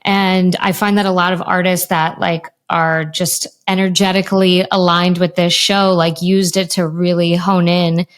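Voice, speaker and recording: A woman's voice: on the low side, level tone, moderate pace, few pauses. A woman hosting a music podcast, introducing the episode and interviewing guests in an enthusiastic, chatty way. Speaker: podcast host.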